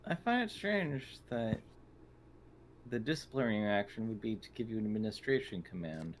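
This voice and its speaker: A man's voice: fairly low pitch, lively intonation, lightly acted. A man voicing a formal, coolly inquisitive aide in an improvised tabletop role-playing game. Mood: cool curiosity. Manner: formal, measured, deferential. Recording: faintly noisy online voice call.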